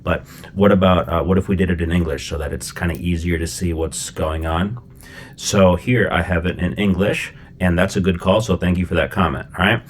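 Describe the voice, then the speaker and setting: Deep-voiced middle-aged guy from chicago, chill and chatty, lots of "okay?" and "right?", faint hiss behind. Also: fairly quick. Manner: relaxed, conversational, with frequent fillers and check-ins. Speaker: language teacher. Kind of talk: casual explanatory lesson.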